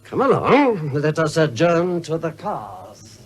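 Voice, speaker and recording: A cruel professor villain in a radio thriller. His high voice swoops with silky menace and relishes each precise word, over a noisy car backdrop. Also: very animated, slow. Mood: gloating menace. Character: sadistic, silky-voiced male villain professor with a steel claw. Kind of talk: 1970s British radio serial acting.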